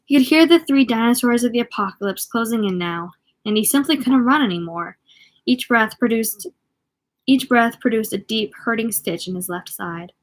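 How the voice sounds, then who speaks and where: Woman reading aloud, somewhat high voice. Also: lively intonation, moderate pace. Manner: stumbles over words and rereads phrases. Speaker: amateur audiobook reader.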